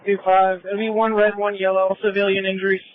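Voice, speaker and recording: A man's voice: sits high, completely flat delivery, brisk, dry recording. A man working as an EMS supervisor, relaying information over an emergency two-way radio. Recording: tinny narrowband emergency radio.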